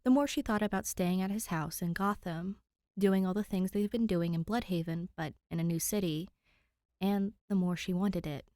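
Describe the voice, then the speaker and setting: A woman's voice: on the low side, normal speed, mellow, no echo, clean studio sound. A woman hosting and narrating fan fiction, chatting to listeners and reading expressively. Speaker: podfic reader and channel host.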